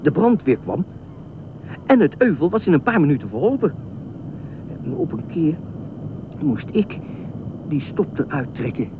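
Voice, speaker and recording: A man's voice: a little high, sing-song, a little slow, long pauses. A man in a radio play acting an eccentric, talkative lifeguard, anxious and pleading, rambling and repeating himself. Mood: troubled, dreamy. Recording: tinny band-limited radio play, faint noise.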